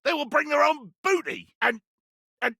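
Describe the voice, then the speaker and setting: Gravelly, theatrical pirate captain. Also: male voice, extremely high pitch, normal speed, sharp tone, no echo, studio quality, heavily acted. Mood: demanding. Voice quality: gravelly, raspy. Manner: pompous, grandiloquent, archaic seafaring speech. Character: blustering, theatrical pirate captain, bearded, proud, adventure-hungry. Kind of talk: video game character acting.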